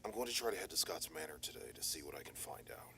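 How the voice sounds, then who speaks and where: A man voicing a steady private detective from an analog horror tape, his voice extremely low and his delivery quick but formal. He stays measured and reassuring, with faint noise under the recording. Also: exaggerated voice. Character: calm male private detective, steady, methodical. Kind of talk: analog horror audio drama.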